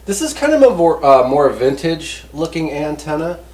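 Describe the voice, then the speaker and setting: A man's voice: on the high side, very animated, moderate pace, a little mellow. A man giving a casual hands-on product review as a host, talking in a folksy, rambling, easygoing way. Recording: roomy echo, distant mic, background noise.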